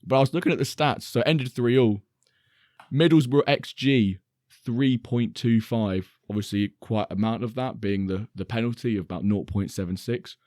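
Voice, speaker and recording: A man co-hosting a podcast, his voice slightly croaky, telling a match story in an animated way. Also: medium pitch, normal speed, no echo, clean studio sound. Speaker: podcast co-host. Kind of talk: casual football chat.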